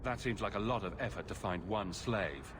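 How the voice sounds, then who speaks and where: A man plays a confident hired adventurer in a fantasy game. He speaks bluntly and wryly in a fairly low voice, with noisy ambience behind. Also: moderate pace, natural voice. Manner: direct, questioning. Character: confident human adventurer for hire, blunt, wry. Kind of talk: video game cutscene acting.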